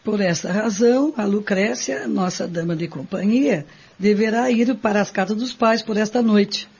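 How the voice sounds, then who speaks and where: A woman's voice: medium pitch, normal speed, darkish tone, breathy edge. A woman playing a courteous, soothing country housewife receiving a guest in a radio drama, with polite formal manners. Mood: reassuring. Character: courteous, soothing married hostess of a rural house. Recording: dull, lo-fi recording.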